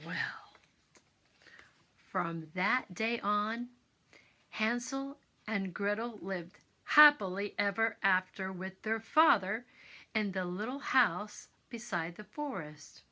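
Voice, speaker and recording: A woman narrating a children's bedtime story in a theatrical, expressive manner, as a storyteller. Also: medium pitch. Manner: theatrical, expressive, with exclamations and asides.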